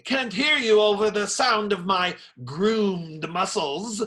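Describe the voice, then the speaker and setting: A man's voice: high-pitched, takes it slowly, fairly bright tone. A man acting in a radio play as a stout, strong friar who is indignant, pious and sarcastically preachy. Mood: threatening, sardonic. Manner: theatrical, sermonizing sarcasm.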